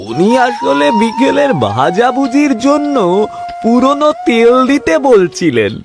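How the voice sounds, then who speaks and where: A man acts an anxious cartoon servant with an extremely high, gravelly voice. He speaks slowly and apologetically to his master, with clear music underneath. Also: huge pitch swings, full character voice. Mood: nervous. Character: timid, loyal male shop worker, anxious before master. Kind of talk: cartoon voice acting.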